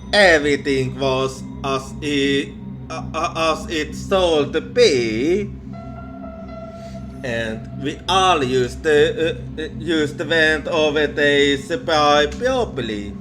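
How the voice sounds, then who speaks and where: A man who is a non-native English speaker tells a ghost story in ASMR style, halting and repeating words. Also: on the high side. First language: not English. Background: music.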